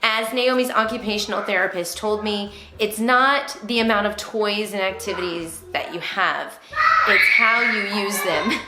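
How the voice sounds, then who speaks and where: A woman's voice: mid-range voice, a little slow, a crowd in the background, rough recording. A woman hosting a homeschooling vlog, explaining things to other parents in an encouraging way. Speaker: homeschooling mother and vlog host.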